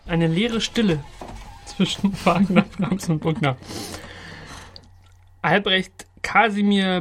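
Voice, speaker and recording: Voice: mid-range voice, a little hiss or hum. Ruhige Sprechstimme, leises Rauschen. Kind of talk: documentary narration.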